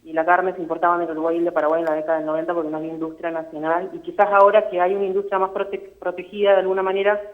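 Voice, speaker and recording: A woman giving her political opinion as a caller on a muffled phone line, in a tentative, hedging manner. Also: on the low side. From Argentina. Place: phone line into a studio show. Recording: muffled phone call to a broadcast.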